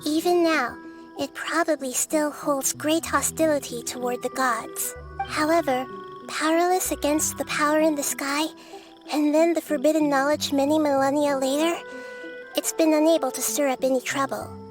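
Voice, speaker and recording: A woman voicing a gentle, young-sounding goddess who calmly and thoughtfully explains history. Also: sits high, normal speed, lightly acted. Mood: calm explaining. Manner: calm, thoughtful, explaining lore. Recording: game audio with faint background music.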